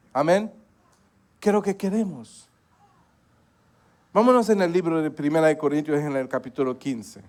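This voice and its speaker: A male pastor delivers a sermon, his somewhat high voice swelling on emphatic points and sinking low at the ends of phrases. He keeps punctuating his preaching with amens. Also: a little mellow. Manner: preaching with frequent amens, rising emphasis and sudden drops.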